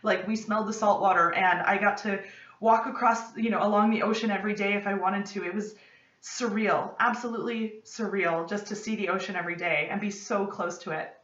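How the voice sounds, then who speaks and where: A young American woman talks to a camera set a little way off in her home study, excited and quick to go off on tangents. Her voice sits in a middling range, and the room adds a noticeable echo. The sound is somewhat dull and lo-fi. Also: medium pitch. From United States. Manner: excitable, gestures a lot, rambling asides. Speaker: podcast host. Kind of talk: casual chat to camera. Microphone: camera at desk distance. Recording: roomy, slightly distant, dull lo-fi.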